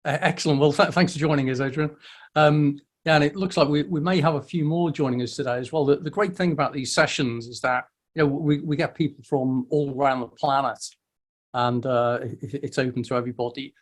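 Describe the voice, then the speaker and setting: A man's voice: mid-range voice, steady tone, a little fast. A man hosting a casual meetup over a video call, friendly and welcoming, often stumbling and restarting his words. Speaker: host of a weekly online meetup.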